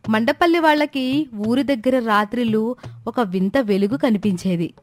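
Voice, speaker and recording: A woman's voice: a little high, moderate pace, no room sound. A woman reading a scripted moral story as its narrator. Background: music.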